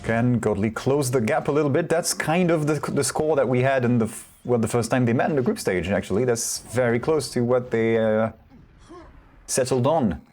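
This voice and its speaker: A man casting a competitive video game match solo, chatty and relaxed, often correcting himself. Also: mid-range voice, moderate pace. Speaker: esports caster. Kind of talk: solo play-by-play commentary.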